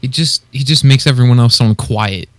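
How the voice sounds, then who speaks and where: A man's voice: mid-range voice, normal speed, a bit bright, dry recording. A man chatting casually as a guest on a podcast.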